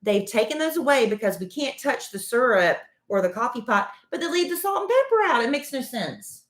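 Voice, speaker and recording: A woman's voice: brisk, reverberant. A woman in her fifties co-hosting a podcast, chatting casually with her husband and telling stories animatedly. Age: 50s. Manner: animated storyteller, rising up in pitch when indignant. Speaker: podcast co-host. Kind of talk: casual chat with spouse.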